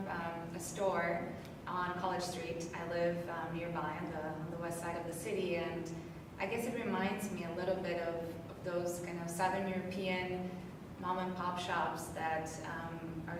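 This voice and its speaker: A woman from North Macedonia speaking thoughtfully in an interview, with many fillers and restarts. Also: on the low side. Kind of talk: reflective interview. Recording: echoey hall, distant mic, noisy.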